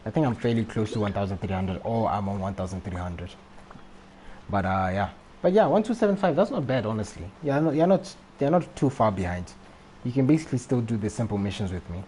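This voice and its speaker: Guy on a game stream, lowish mellow voice, rambly casual chat, some noise behind him. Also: expressive, brisk. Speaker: live streamer. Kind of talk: casual gaming livestream chat.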